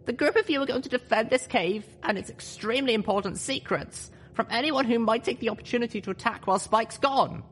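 A determined little pony mare, acted by a man in a storybook. The voice is very high and lifted, firm and urgent as a leader's. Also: brisk, hardly any pauses, a bit bright, slight background noise. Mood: firm, resolute. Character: small determined female pony leader, first-person heroine.

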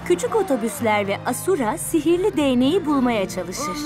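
A woman's voice: sits high, sing-song, normal speed. A woman reading scripted narration for a children's cartoon. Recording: music behind the voice.

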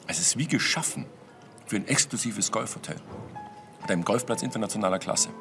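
A man playing a smooth, haughty count in a crime drama, persuasive and self-important. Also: lowish voice, a little fast, over music. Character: smooth-talking, haughty male count and property promoter. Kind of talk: German TV crime drama acting.

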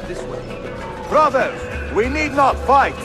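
An actor playing an earnest, hot-headed young assassin, a loyal son, in a game. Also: male voice, very high voice, animated, takes it slowly, over music, full character voice. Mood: angry resolve. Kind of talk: dramatic game acting.

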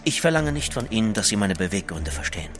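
An actor in a German audio drama playing a driven gentleman writer, dramatic and insistent, sometimes hushed. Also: lowish voice, sing-song, quick pace, faint music behind. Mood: earnest, insistent. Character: intense, driven gentleman writer pleading for help.

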